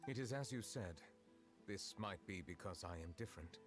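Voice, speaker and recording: Man playing a low-voiced, dignified dragon judge. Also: soft background music, natural voice. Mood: reserved, measured. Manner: formal, measured, restrained. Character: dignified, reserved dragon in human form, chief judge. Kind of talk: video game voice acting.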